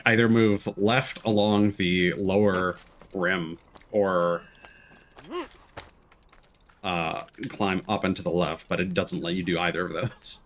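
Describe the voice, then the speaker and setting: A man's voice: low voice, a bit bright, a little hiss or hum. A man streaming a video game, giving casual, musing commentary with some hesitation.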